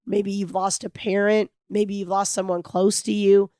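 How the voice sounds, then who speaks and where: Raspy-voiced middle-aged woman hosting a podcast. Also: mid-range voice, flat delivery, normal speed, mellow, no room sound, studio quality. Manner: earnest, reflective, hopeful. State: raspy from a cold. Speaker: podcast host. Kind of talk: heartfelt solo podcast monologue.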